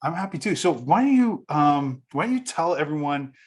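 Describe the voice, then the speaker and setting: Eager, excitable male podcast host. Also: a little high. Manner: eager, excitable, talks in quick bursts with restarts. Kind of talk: casual interview chat.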